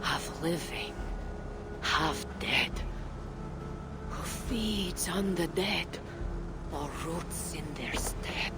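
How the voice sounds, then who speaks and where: An actress playing a solemn, mystical seeress in a video game, weary but firm, speaking in a measured, portentous way. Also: female voice, lowish voice, over loud music. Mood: entranced, ominous. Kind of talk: cinematic video game acting.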